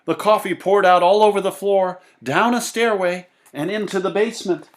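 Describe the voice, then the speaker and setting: A man reading a children's story aloud in a theatrical, expressive way. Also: high-pitched, normal speed. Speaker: storybook reader. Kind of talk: animated children's story narration.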